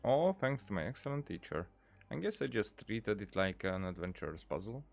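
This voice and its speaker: A man hosting a gameplay video, casually reading prompts aloud in a halting way and tossing in playful asides. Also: fairly low pitch, expressive, creaky. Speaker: gaming video host. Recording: game music behind the voice.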